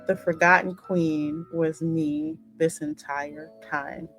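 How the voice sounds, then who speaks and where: A woman's voice: low-pitched, takes it slowly, creaky. A woman hosting a game stream, reading the story aloud expressively and reacting casually. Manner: expressive, dramatic read-aloud with casual exclamations. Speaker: gaming channel host. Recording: music behind the voice. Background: game music.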